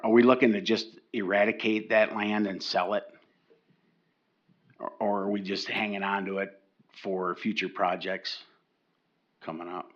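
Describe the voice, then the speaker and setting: An older man addressing county commissioners during public comment. He talks slowly and haltingly, restarting and repeating words. His voice sits a bit low and the meeting recording sounds dull and lo-fi.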